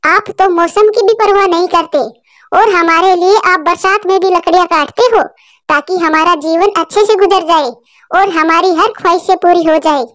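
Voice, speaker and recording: Woman voicing a high-pitched little girl bird. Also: normal speed, a bit bright, no room sound, studio quality. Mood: sweet, earnest. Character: sweet little girl bird, loving and plaintive. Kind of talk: children's cartoon voice acting.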